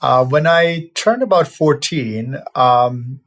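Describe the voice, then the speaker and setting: A man in his fifties who grew up in Czechoslovakia, talking as a vet about how he came to his work. He tells it casually and reflectively, stopping often on "uh" and starting phrases over. His voice sits at an ordinary middle pitch for a man. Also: takes it slowly, fairly bright tone. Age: 50s. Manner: reflective, with frequent "uh" fillers and restarts. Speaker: veterinarian sharing his life story. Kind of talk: casual personal storytelling.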